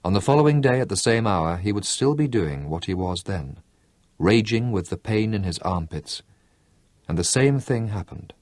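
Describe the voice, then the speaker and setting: A man narrating a novel as an audiobook, in a measured, expressive storytelling manner. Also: lowish voice, no echo. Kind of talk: scripted narration.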